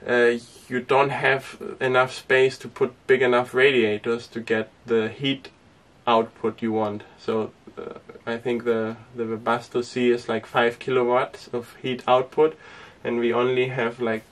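A man's voice: medium pitch, measured pace, hardly any pauses, far from the mic. Rambling young man on a boat. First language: Danish. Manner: relaxed and rambling, with frequent fillers and small laughs. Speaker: sailing vlogger. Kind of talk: casual talk to camera. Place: inside a small sailboat cabin and cockpit tent.

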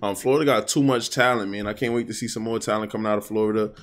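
A young man hosting a music reaction video. He chats casually and excitably in slang, speaking indoors to a webcam while wearing headphones. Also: lowish voice, very fast. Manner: excitable, slangy, loose and talkative. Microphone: webcam framing, wearing headphones.

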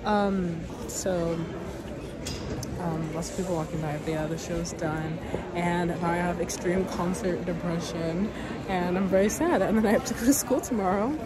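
A young woman talking casually into her phone camera after a concert, hoarse and tired, with a voice that fades out. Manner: says "like" often, soft and low-key. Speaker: vlogger. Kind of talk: casual vlog chat to camera. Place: indoor public hallway with passers-by. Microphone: phone held close, selfie framing. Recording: loud background noise.

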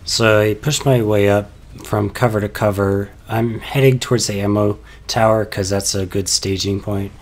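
A young man calmly explaining his tactics over game footage, sounding a little stuffy from a cold, with frequent "uh" fillers. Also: fairly low pitch, normal speed, few pauses. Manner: calm, explanatory, many "uh" fillers. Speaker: gameplay commentator.

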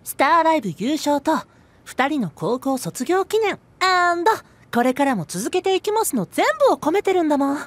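A man's voice: very high-pitched, big pitch swings, normal speed. A man voicing a bubbly, cute, affectionate young male idol in a Japanese voice drama, sweet and upbeat. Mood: excited.

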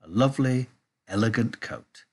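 A man reading a children's storybook aloud in a measured, expressive way. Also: mid-range voice. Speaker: audiobook reader. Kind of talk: storybook narration.

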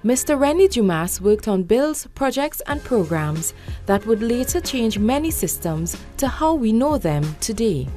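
A woman reads a scripted documentary narration with a mellow, warm tone and a lively, rising and falling melody. Soft music runs underneath, and her delivery stays polished and even throughout. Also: fairly high pitch, measured pace.

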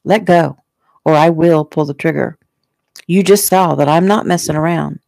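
Lady doing nasty kidnapper guy in an audiobook, low and creaky, threatening, taunting. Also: moderate pace, mellow, dry recording. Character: menacing male villain, cold, threatening kidnapper with gun. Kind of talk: audiobook character acting.

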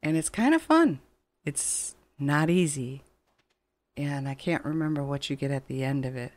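A woman's voice: low-pitched, expressive, moderate pace, dry recording. A woman giving casual, chatty let's play commentary in a rambling, conversational way. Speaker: gaming channel host. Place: voice-over on game footage. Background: game music.

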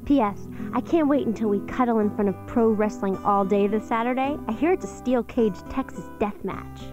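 A woman acts out a doting girlfriend, sweet and sincere in a radio spot. Her voice sits fairly high. Music plays behind her in a slightly muffled recording. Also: normal speed, close to an everyday voice. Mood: adoring, sweet. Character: adoring girlfriend sweetly praising her slobbish boyfriend. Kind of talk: radio public service ad acting.

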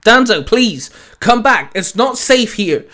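A man's voice: high-pitched, animated, fairly quick, fairly bright tone, voice pushed up. A man voicing an earnest, headstrong young male space ranger in an audio story, speaking with urgent emphasis. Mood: pleading.

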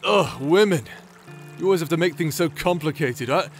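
A man's voice: a little high, normal speed, soft background music, close to an everyday voice. A man acting a cocky, lewd, battle-crazed swordsman in an anime-style audio drama, brash, crude and teasing.

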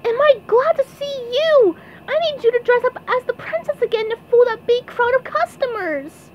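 A woman acts out a naive, excitable male sponge from a cartoon storybook. The voice is squeaky and very high, bouncing up and down with eagerness. The recording is a little dull, with some noise. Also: moderate pace. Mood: eager. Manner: excitable, pleading, sputtering when flustered.

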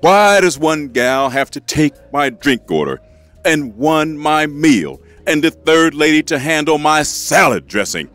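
A man's voice: on the high side, expressive, a little slow, a bit bright, faint music behind. A man playing an exasperated, sarcastic restaurant customer in a comedy audio sketch, growing indignant at the service. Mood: outraged.

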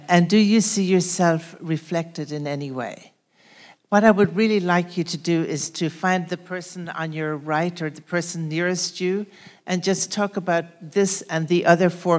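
A woman in her sixties giving a workshop presentation, calmly posing questions to the audience with gentle fillers. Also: on the low side. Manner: calm, gentle prompting with soft fillers. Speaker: conference presenter. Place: conference hall stage at a podium. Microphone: handheld mic.

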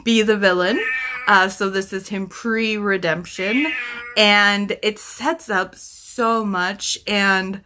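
A woman's voice: medium pitch, fairly even intonation, takes it slowly, bright, forceful tone. A woman in her thirties chatting casually to camera as a book vlogger, rambling and correcting herself as she thinks aloud. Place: small room at home, indoors.

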